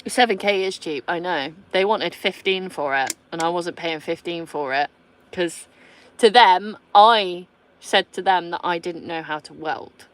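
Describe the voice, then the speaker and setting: British woman, 30s, vlogger, lowish voice, blunt and sweary, quick laughs. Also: poor audio. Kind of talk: casual chat reading viewer comments.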